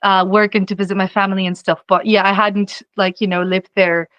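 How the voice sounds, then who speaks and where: A woman's voice: medium pitch, flat delivery, brisk, a bit bright, dry recording. A young woman from Czechia, a singer-songwriter guest, talking casually in an interview, rambling with lots of "you know" and easy laughs.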